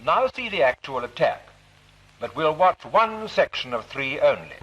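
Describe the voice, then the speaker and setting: A British man narrates a vintage training film in a formal, instructive way. His voice sits fairly high and moves in lively swings of pitch. The old soundtrack is dull and muffled. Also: unhurried, slight background noise. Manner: formal, didactic.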